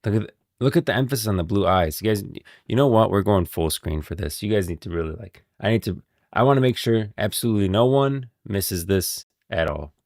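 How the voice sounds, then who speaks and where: A man's voice: fairly low pitch, animated, a little fast, a little mellow, no echo, clean studio sound. A man doing casual live-stream commentary, talking in an emphatic, repetitive, slangy way.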